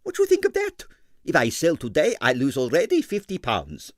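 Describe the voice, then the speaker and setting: A man's voice: fairly high pitch, huge pitch swings, fairly quick, no room sound, studio quality, voice pushed up. A man voicing a sentimental, money-minded ballet impresario in a storybook, effusive and theatrical, with a thick foreign accent and broken English. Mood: indignant, dismissive. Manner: theatrical, effusive, French interjections.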